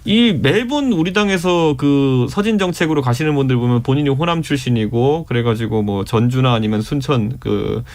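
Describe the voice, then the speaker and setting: A man's voice: mid-range voice, a little mellow. Earnest man in his thirties debating. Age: 30s. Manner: measured, earnest argument. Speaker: political panelist. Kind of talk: radio debate. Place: radio studio.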